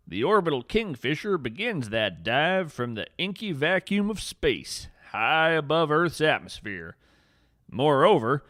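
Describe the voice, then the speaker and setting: A man's voice: mid-range voice, fairly bright tone, dry recording, studio quality. A man narrating a scripted story as a podcast host, with a theatrical, poetic and solemn delivery.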